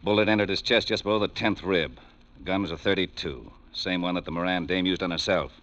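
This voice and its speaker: A man's voice: sits low, fairly quick, a bit bright. An actor playing a blunt, sceptical, exasperated male homicide lieutenant in a 1940s radio drama. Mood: matter-of-fact. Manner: blunt, sardonic, impatient. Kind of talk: 1940s radio detective acting. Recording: dull old radio recording.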